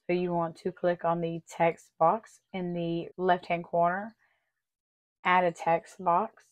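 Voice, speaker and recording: A woman with a lowish voice hosts a design tutorial. Her voice is still slightly raspy as it comes back. She chats warmly and walks through each step one at a time. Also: little pitch movement, slow, muffled recording. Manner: friendly, chatty, step-by-step explaining. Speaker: craft tutorial host. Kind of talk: casual tutorial walkthrough.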